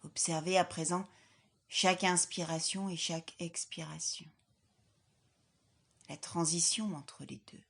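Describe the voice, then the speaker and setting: A woman leading a guided yoga nidra relaxation as an instructor, in a calm, soothing, measured way with soft, breathy phrase endings. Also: on the low side. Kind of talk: guided relaxation meditation, scripted.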